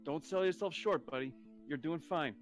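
A man's voice: on the high side, normal speed, soft background music, natural voice. A man playing an easygoing, confident creator of a digital world in an amateur sci-fi audio drama. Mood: reassuring.

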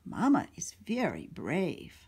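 A woman's voice: on the low side, huge pitch swings, slow pace, mellow, slightly rough, exaggerated voice. A woman voicing lost young children who earnestly insist on how wonderful their mother is.

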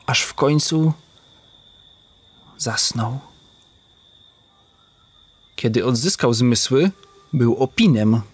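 A man narrating an audio drama in a measured storytelling manner. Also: mid-range voice.

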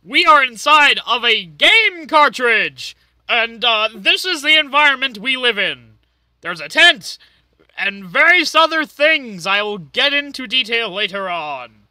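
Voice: mid-range voice, sing-song, a little slow, bright, forceful tone, no echo, full character voice, low-quality recording. A performer voicing a manic, foul-mouthed, bossy cartoon ringmaster in an amateur fan dub, theatrical with hesitant asides. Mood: showy explaining.

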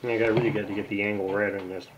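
A man giving unscripted, casual narration of hands-on repair work, halting and muttering to himself as he goes. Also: on the low side, little pitch movement, dull audio, noisy background. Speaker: hobbyist radio repairer, video host. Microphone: camera mic near the workbench.

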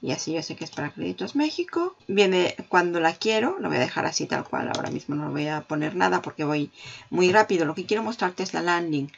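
A woman hosting a marketing tutorial, walking the viewer through software on screen and talking straight to them. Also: on the low side, animated, a little fast, gravelly, muffled recording. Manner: explanatory, addresses viewer directly. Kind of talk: screen-recorded software walkthrough.

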